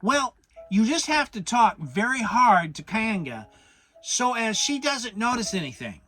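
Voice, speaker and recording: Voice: mid-range voice, very animated, measured pace, a bit bright, pitched above the performer's own voice. A narrator voicing a bossy, self-important male rabbit, pompous and emphatic, in a children's storybook. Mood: brisk.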